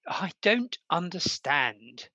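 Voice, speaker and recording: A woman's voice: lowish voice, sing-song, slow, pushed and bright, highs cut off, full character voice. An English woman playing a courteous, hesitant young Victorian male composer in a radio play. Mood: flustered.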